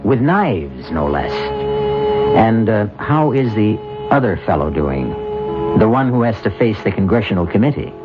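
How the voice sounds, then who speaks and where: Low-voiced wry radio drama host. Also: male voice, sing-song, music in the background. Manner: measured, wry, dramatic pauses. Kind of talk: scripted suspense radio narration. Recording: muffled old radio broadcast.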